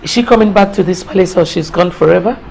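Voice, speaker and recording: A woman's voice: on the low side, fast talker, vocal fry, a little mellow, faint music behind. An actress playing a mother and queen who scolds her son and gives orders to her servants. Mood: commanding. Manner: scolding, commanding. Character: stern mother queen of a palace. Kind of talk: melodramatic drama acting.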